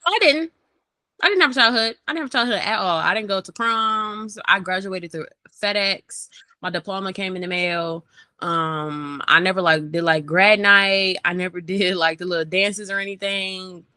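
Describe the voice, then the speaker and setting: Bright, chatty young woman. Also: mid-range voice, normal speed, no room sound. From Maryland, USA. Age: young adult. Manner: animated, chatty, laughs often. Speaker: interview guest, rapper. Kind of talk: casual interview chat.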